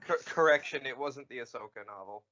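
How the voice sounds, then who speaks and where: Guy on a podcast, bright forceful voice, halting, very dry slightly lo-fi sound. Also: medium pitch, no echo. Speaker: podcast co-host. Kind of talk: casual chat.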